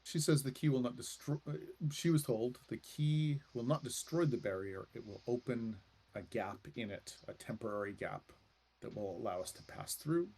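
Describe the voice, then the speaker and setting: A man improvising as game master of a tabletop roleplaying game, speaking in a halting, deliberate way with many pauses. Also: mid-range voice, warm tone, slightly rough, rough recording. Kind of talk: improvised in-character narration.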